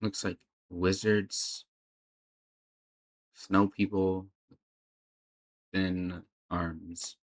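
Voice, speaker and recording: Low voiced guy doing a chill reaction commentary, just talking through it. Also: level tone, drawn-out words, pauses often. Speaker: reaction video host.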